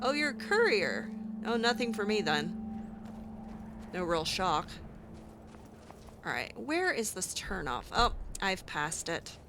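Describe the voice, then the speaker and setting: A woman's voice: mid-range voice, sing-song, slow, long pauses, noisy background. A woman doing in-character improvised narration of a video game, musing aloud to herself as she goes. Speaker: let's play host roleplaying her character.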